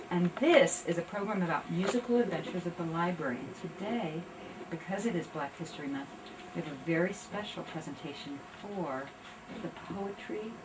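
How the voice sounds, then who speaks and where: Lady hosting a library event, lowish voice, picked up from way back with loud room noise. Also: far from the mic. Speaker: conservatory director introducing the program. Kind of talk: event introduction to a live audience.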